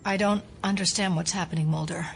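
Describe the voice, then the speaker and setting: An actress playing a guarded, anxious FBI agent, earnest and questioning, in an audio drama. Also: female voice, fairly low pitch, fairly even intonation, a little fast, vocal fry, faint music behind. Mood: worried.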